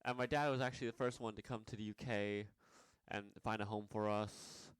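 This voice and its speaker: A man's voice: on the low side, steady tone, a little fast, clear, resonant tone, no echo. A young man from Nepal telling his life story in a rambling vlog, with many fillers and restarts. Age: young adult. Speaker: vlogger.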